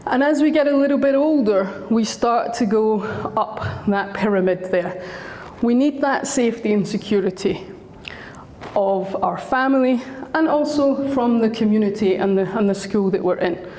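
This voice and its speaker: A woman's voice: a little high, normal speed, darkish tone. Scottish lady counsellor chatting to parents, bit of a sore throat, big echoey hall. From Scotland. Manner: friendly, chatty. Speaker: school counsellor. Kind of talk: talk to parents.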